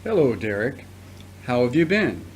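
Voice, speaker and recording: An actor playing a patient, fatherly middle-aged priest in an audio drama, speaking in a measured, formal way. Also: medium pitch, big pitch swings, breathy edge. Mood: genial. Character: returning priest, patient, paternal, earnest, formerly accused. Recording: noticeable background noise.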